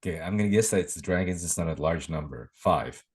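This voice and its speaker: A man's voice: low-pitched, fast talker, darkish tone, husky. A man co-hosting a casual sports podcast, reacting with interest and chipping in with short remarks. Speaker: podcast co-host.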